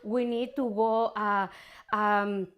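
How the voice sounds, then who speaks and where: A woman who speaks as a non-native, making a halting, unscripted appeal as an interviewed organizer. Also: mid-range voice, steady tone, muffled recording. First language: not English.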